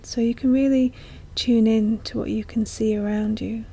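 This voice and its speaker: A woman guiding a meditation in a soft, breathy, often near-whispered voice, speaking gently and slowly with long, soothing pauses. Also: a little high, fairly even intonation. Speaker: guided meditation teacher. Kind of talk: slow guided meditation narration.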